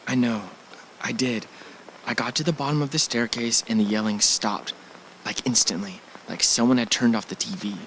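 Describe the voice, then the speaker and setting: Guy acting wary reluctant boyfriend in a radio play, ordinary mid pitched voice, bit of noise. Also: steady tone, normal speed, a bit bright, natural voice, poor audio. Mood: uneasy, baffled. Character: wary, reluctant male partner of an adventurous woman. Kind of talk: audio drama acting.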